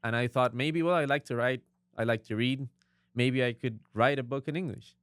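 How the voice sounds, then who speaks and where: A young man with a Costa Rican Spanish accent, speaking English as a second language. His voice is smooth and clear, recorded close and dry, and he talks earnestly while dropping in small agreeing murmurs. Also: medium pitch, normal speed, fairly bright tone, no room sound. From Costa Rica. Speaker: guest author. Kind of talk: casual interview.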